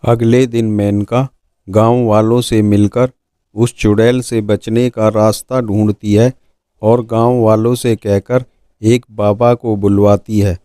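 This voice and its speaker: A man's voice: lowish voice, little pitch movement, slow, warm tone, clean tone, no room sound, studio quality. A man reading scripted narration of a horror folk tale for a cartoon. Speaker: storyteller. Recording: music behind the voice.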